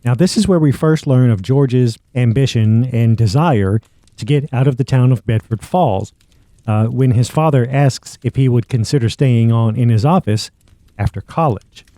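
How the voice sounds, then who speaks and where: A man narrates as a podcast host, conversational though working from notes. His voice is mid-pitched and mellow, recorded dry and close. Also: normal speed, no room sound.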